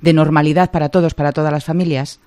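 A woman's voice: sits low, steady tone, fast talker, dark tone, no echo. A woman on a radio panel, asking a guest questions in a conversational style.

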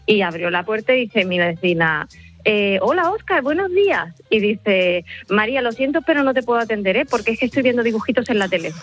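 A woman's voice: medium pitch, lively intonation, a little fast, faint music behind. Chatty Andalusian woman caller. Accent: Andalusian Spanish. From Cádiz, Spain. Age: 40s. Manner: chatty, laughs while telling a story. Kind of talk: radio phone-in anecdote.

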